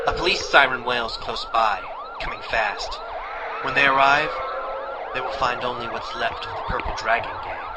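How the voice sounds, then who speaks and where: A man's voice: medium pitch, very noisy. A man playing a proud teenage mutant turtle who narrates in a hard-boiled, terse, intense way for a fan voice comic. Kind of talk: dramatic comic-book narration. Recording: loud music under the voice. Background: loud dramatic music.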